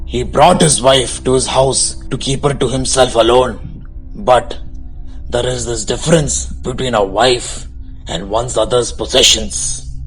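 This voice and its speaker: Radio play narrator , a man: mid-range voice, literary and measured delivery, music underneath. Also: medium pitch, lightly acted, low-quality recording. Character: storyteller recounting a tragic marriage.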